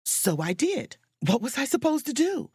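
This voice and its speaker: A woman's voice: high voice, very animated, moderate pace, mellow, breathy edge, dry recording, heavily acted. A woman acting a proud, self-dramatizing chief mate who narrates an audio drama as a wry diary. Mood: wry, confiding. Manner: theatrical, wry, emphatic.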